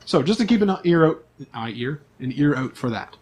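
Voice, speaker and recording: Higher-voiced man running a tabletop game. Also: expressive, a little fast, darkish tone, breathy edge. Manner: informal, conversational asides. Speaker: game master and host. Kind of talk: explaining a tabletop game setting to players.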